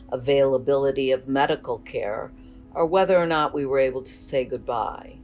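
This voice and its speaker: A woman delivering a prepared memorial speech as a bereaved spouse. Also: rumbling low. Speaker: bereaved spouse giving a eulogy.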